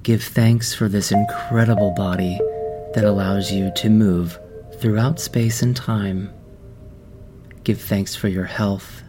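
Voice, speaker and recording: Slow, low-voiced man guiding meditation. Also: steady tone. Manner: slow, gentle, soothing instruction with long pauses. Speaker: meditation guide. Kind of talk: guided meditation narration. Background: background music.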